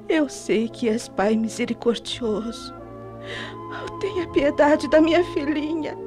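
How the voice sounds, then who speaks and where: A woman's voice: high voice, over music. A woman playing a devout, emotional young mother praying for her baby daughter in a melodramatic radio drama. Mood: anguished, pleading. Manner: pleading, devout, drawn-out delivery.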